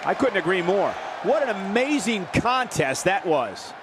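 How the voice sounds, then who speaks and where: A man playing an excitable wrestling commentator calling a match in a video game. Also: high voice, measured pace. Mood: impressed. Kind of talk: sports commentary. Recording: very loud arena crowd noise.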